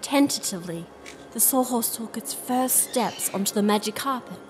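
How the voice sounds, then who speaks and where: An actress playing a spirited young princess who tells her adventure in an expressive storybook style for an audio drama. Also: fairly high pitch, moderate pace, over music, natural voice. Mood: bright storytelling.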